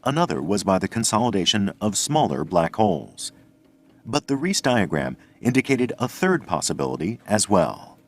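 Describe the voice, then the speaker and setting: A man narrating a scripted science documentary in a measured, explanatory way. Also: fairly low pitch, sing-song.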